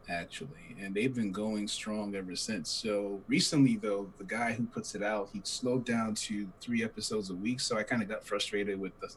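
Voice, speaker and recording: A man's voice: fairly low pitch, a little hiss or hum, poor audio. A man co-hosting a podcast, talking in a casual, rambling way and laughing at his own stories. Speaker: podcast co-host. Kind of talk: casual chat.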